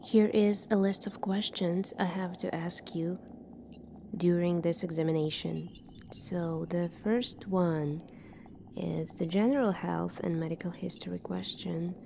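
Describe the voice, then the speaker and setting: A woman speaks very slowly in a soft ASMR style, with a mellow, slightly low voice. She plays a calm, formal medical officer in a sci-fi future, measured and clinical in her delivery. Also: vocal fry, slight background noise. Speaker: ASMR roleplay performer. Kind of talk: soft-spoken ASMR roleplay.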